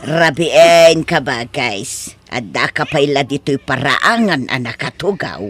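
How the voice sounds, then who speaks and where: A man's voice: medium pitch, animated, unhurried, a bit bright, faint music behind. A man with a Filipino accent playing a friendly, encouraging village neighbour in an audio drama. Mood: friendly, teasing. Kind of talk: Ilocano audio drama acting. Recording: faint background noise.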